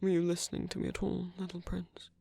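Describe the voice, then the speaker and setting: Guy acting as a gentle nervous king, asmr roleplay, creaky voice, real slow n hesitant, super close dry sound. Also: sits high, monotone, no echo, exaggerated voice. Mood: nervous, tender. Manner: soft, hesitant, formal and earnest, lapsing into whispers. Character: gentle, nervous king confessing love to a prince. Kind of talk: intimate ASMR audio roleplay.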